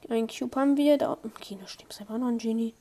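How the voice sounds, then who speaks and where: A young woman commenting on her own online match, excitable, swinging from low muttering to high squeals. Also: quick pace. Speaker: gamer streamer. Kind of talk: live gameplay commentary.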